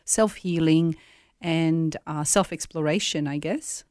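A woman with an Italian accent talks as a studio guest, her voice sitting low for a woman. She speaks softly and reflectively, in a measured way. The sound is close and dry, with no room around it. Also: no echo. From Italy. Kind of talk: radio interview conversation. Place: radio studio.

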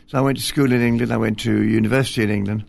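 Older man with a gravelly voice. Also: lowish voice, steady tone, racing. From Scotland. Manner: gentle, self-deprecating, hesitant with fillers. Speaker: retired bishop, guest. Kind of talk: relaxed interview conversation. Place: television studio.

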